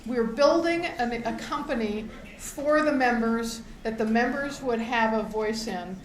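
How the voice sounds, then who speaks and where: A middle-aged woman giving a loud, grateful, enthusiastic speech through a microphone to open a membership meeting. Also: a little high, unhurried. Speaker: outgoing board member opening a meeting. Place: event hall with an audience. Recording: echoey hall with a faint crowd.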